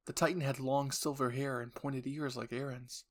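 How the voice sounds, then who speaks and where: A man's voice: mid-range voice, steady tone, moderate pace, fairly bright tone. A man narrating an audio roleplay story in the second person with an earnest, theatrical delivery.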